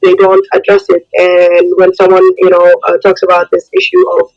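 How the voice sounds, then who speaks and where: A woman from Somalia giving her opinion as a caller, with halting, hesitant phrasing. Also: fairly low pitch, big pitch swings. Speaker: caller in a live audio discussion. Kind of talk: impromptu spoken opinion. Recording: dull lo-fi radio-like call audio.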